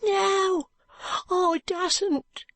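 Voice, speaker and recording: A woman voicing a frightened, pitiful ragged street boy with a high, cracked, tearful voice and slurred street speech. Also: steady tone, extremely slow, a bit bright, no room sound, exaggerated voice. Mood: fearful.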